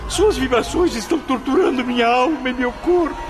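A man's voice: very high voice, fairly quick, loud music behind the voice. An actor playing the anguished, proud, blind ghost of a male writer, lamenting and pleading theatrically in a radio drama. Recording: noisy radio drama with ambient sound.

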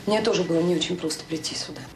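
An actress in a Soviet radio drama playing a determined, anxious woman who speaks haltingly and earnestly. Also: fairly low pitch, natural voice. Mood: firm, warning. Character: determined, anxious woman warning a romantic rival. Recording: noisy, roomy old audio drama recording.

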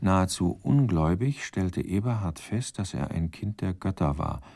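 An actor as the wry, composed narrator of a radio crime drama, reading scripted literary narration. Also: male voice, low voice, animated, moderate pace, vocal fry, a little mellow, no room sound, studio quality, full character voice.

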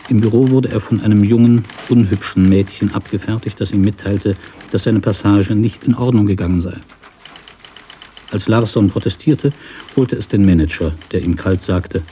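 A man narrating a literary radio drama from a script in a measured, sober manner. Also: on the low side, mellow, slight background noise.

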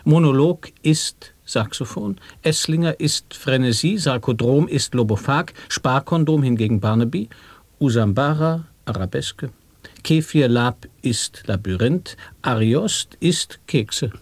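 An actor in a radio play reciting nonsense word play in a deliberate, pondering way. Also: male voice, mid-range voice, animated, no room sound. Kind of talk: reciting sound poetry.

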